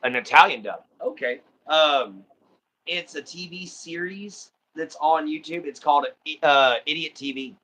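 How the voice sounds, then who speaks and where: A man's voice: fairly high pitch, unhurried, sharp tone, poor audio. A man with a Texan accent from Southeast Texas, hosting a livestream in easygoing, rambling casual chat full of ums and uhs. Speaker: livestream host.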